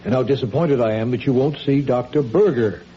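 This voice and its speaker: An actor playing a patient, worried husband in a radio drama, speaking in a measured, placating way. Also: male voice, medium pitch, dark tone, slight background noise, close to an everyday voice. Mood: concerned, careful. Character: weary, concerned, patient husband. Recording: dull radio-drama recording.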